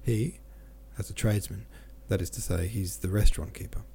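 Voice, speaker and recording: A man's voice: fairly low pitch, steady tone, fairly quick, mellow, slight background noise. A man reading a chatty smiling adjutant. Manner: smooth, talkative storytelling. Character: smiling gossipy male Russian adjutant, chatty.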